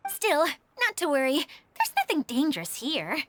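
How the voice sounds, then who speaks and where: A woman's voice: high voice, wildly swooping pitch, normal speed, slight background noise. A woman voicing a timid, formal, easily flustered mascot caretaker in a video game, hesitant and apologetic. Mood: earnest, polite. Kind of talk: video game character dialogue.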